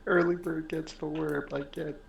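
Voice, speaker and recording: A man's voice: fairly high pitch, little pitch movement, normal speed, gravelly. A man from the northern United States, joking and grumbling with friends before a tabletop game. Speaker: player.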